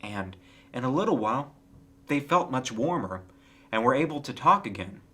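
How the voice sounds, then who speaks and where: A man narrates a children's storybook with expressive, lively intonation. His voice sits at a middling pitch and moves up and down freely as he reads. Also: moderate pace. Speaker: storybook reader.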